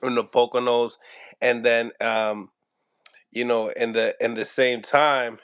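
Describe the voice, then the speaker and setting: A man interviewing a boxing trainer asks his questions in a smooth, mid-pitched voice. The sound is dry, and he phrases things loosely, with many fillers. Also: little pitch movement, slow, fairly bright tone, no room sound. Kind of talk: casual interview.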